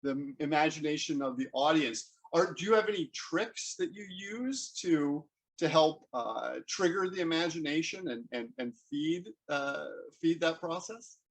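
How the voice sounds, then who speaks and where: A man hosting a conversational interview, halting and repeating words as he forms his questions. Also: on the high side, lively intonation. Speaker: interview host.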